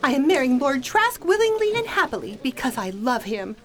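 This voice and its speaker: An actress plays a proud young noblewoman in a radio play. Her high voice swings expressively, formal and resolute. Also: very animated, brisk. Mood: cold, firm. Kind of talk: audio drama acting.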